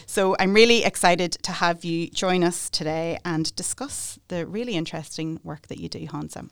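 A woman's voice: lowish voice, normal speed, clear, resonant tone, no echo, studio quality. A woman hosting a podcast, reading a guest introduction and asking friendly questions. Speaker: podcast host.

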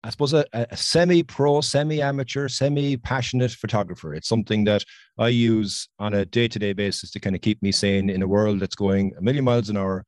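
A man's voice: lowish voice, steady tone, a little fast, darkish tone, no room sound, studio quality. A middle-aged Irish man from Ireland, a guest on a podcast interview, talkative and enthusiastic over a video call. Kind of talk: conversational interview.

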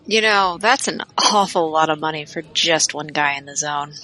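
A woman's voice: sits low, moderate pace, bright, forceful tone, poor audio. A woman playing a shrewd, money-minded hacker on a mercenary team, casual and skeptical, in an audio drama.

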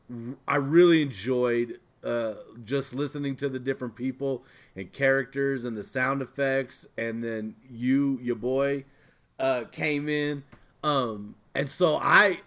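A man with a mid-pitched voice hosts a casual chat. His delivery is loose and informal, halting as he works through his thoughts. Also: a bit bright. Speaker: podcast host.

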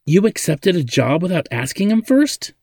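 Casting: male narrator as defensive, loyal android daughter, raised pitch, indignant and urgent, storybook drama. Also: big pitch swings, normal speed, gravelly, a little mellow, no room sound, studio quality, heavily acted. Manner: blurting, indignant, pleading. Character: defensive robotic daughter of an old inventor, fiery, loyal.

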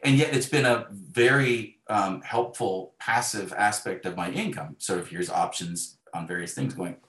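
Male interview guest, lowish voice, animated conversational storyteller who acts out dialogue, recorded in an echoey room. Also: normal speed. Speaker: guest author. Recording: roomy, echoey sound.

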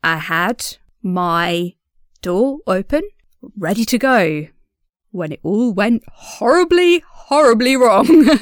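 A woman speaking in an informal audio diary, chatty and self-deprecating in an animated way. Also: medium pitch, no echo, studio quality. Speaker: audio drama creator and diary host.

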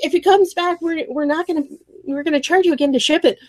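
Female podcast guest, high-set but cold-roughened voice, animated casual storyteller, close dry sound. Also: quick pace, dry recording. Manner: animated storytelling, acts out exchanges. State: sick, voice deeper and more gravelly than usual. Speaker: podcast guest, small business owner who ships orders. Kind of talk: casual chat.

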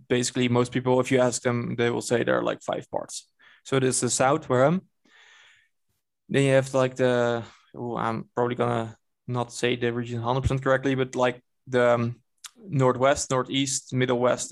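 A man's voice: mid-range voice, normal speed, vocal fry. A young Dutch man with a Dutch accent, talking casually and a bit hesitantly as a guest on a video call. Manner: relaxed, hesitant with fillers and restarts.

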